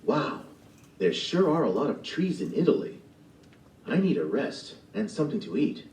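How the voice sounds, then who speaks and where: A man's voice: on the high side, very animated, moderate pace, a little mellow, distant mic, voice pushed up. A man voicing a cheerful, wide-eyed young man in a storybook play, recorded in a roomy space away from the mic. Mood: eager. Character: eager naive young man hero.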